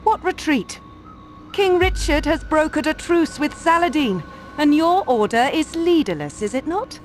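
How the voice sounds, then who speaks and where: A woman's voice: sits high, animated, measured pace, slight background noise. An actress playing a proud, bitter female Templar warrior in a video game cutscene, speaking with haughty, commanding defiance. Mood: defiant, taunting. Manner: haughty, sharp-tongued, commanding.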